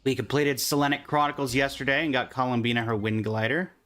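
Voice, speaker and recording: A man's voice: medium pitch, fairly even intonation, fast talker, a bit bright. A man livestreaming a game, chatting casually and in a friendly way with viewers while commenting on his play.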